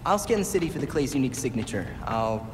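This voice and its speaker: A man playing a studious young hero in a video game, talking with thoughtful hesitations in a lively, rising and falling voice. Reverb and music fill the cutscene behind him. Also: medium pitch, fast, lightly acted.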